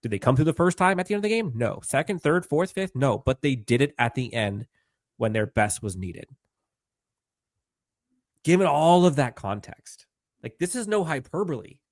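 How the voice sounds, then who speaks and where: A man co-hosting a sports podcast, animated and building long emphatic runs of points. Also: fairly high pitch, moderate pace, no echo, clean studio sound. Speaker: podcast co-host. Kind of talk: excited sports podcast talk.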